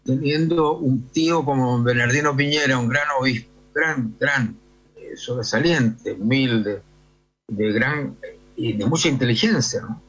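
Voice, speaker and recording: An older Chilean man with a husky, rough-edged voice speaks as a priest being interviewed. He talks discursively, checking for agreement as he goes. The recording is a little dull and lo-fi. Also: medium pitch, unhurried, fairly bright tone. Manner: discursive, often seeks agreement with tag questions. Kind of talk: conversational interview answers.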